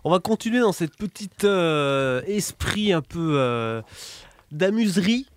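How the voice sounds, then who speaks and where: A man hosting a radio show in casual chat, halting with many fillers and chuckling. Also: high-pitched, expressive, dry recording. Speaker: radio host.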